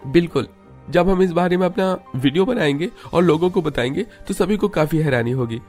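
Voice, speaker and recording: A man voicing a confident, upbeat fellow in an animated village story. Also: on the high side, fairly quick, faint music behind, natural voice. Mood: reassuring.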